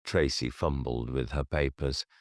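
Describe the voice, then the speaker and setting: Male audiobook narrator: very deep, unhurried, deliberate horror storytelling in a dry recording. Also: steady tone, no echo. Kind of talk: scripted horror fiction narration.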